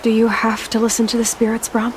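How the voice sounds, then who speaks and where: An actress playing a resolute young outcast huntress in a video game, guarded but compassionate, speaking directly and with measure. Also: female voice, a little high, completely flat delivery, brisk, a little hiss or hum, close to an everyday voice, poor audio. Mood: calm, soothing. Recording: music under the voice.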